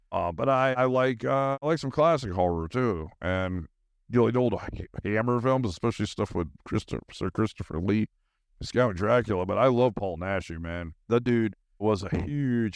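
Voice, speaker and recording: A man's voice: deep voice, no echo, studio quality. A middle-aged man hosting a podcast, talking in a loose, meandering, informal and slangy way about his own life. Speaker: podcast host. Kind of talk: rambling casual personal monologue.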